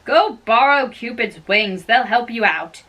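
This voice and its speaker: A woman's voice: on the high side, lively intonation, unhurried, fairly bright tone, far from the mic. A woman playing a bold, carefree young man in an amateur stage play reading, with a breezy manner.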